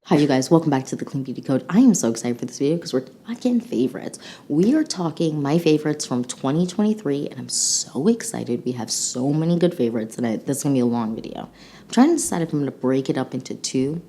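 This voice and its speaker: A woman's voice: low-pitched, animated, fast talker, mellow, faint music behind. A slightly congested woman with a nasal voice, chatting casually and enthusiastically as a beauty vlog host reviewing products. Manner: gushing, enthusiastic.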